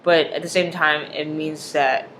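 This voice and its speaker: A young man talking earnestly in a personal video diary, pausing often with fillers and restarting his sentences. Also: a little high, little pitch movement, pushed and bright, a little hiss or hum. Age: young adult. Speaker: vlogger.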